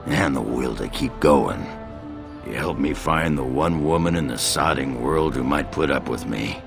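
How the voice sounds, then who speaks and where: Very deep, gravelly drunken dwarf warrior. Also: male voice, full character voice. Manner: crude, folksy, plain-spoken. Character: gruff drunken male dwarf warrior, crude but loyal. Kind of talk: video game voice acting. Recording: music behind the voice.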